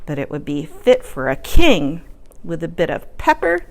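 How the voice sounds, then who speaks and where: Storybook young man, read by a woman: hungry, sly, measured coaxing with lively swings of pitch. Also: vocal fry, heavily acted, low-quality recording.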